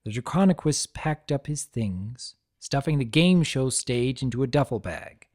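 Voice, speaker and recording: A man's voice: medium pitch, lively intonation, measured pace, darkish tone. A man narrating a story and commenting on it as a dramatic reader.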